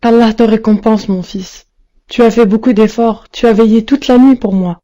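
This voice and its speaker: Cartoon mother, played by a woman: unwell, tender, thankful, soft tone, slightly high pitch. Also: steady tone, normal speed, dull audio, lightly acted. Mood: tender, grateful. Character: grateful, tender sick mother. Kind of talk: didactic cartoon dialogue.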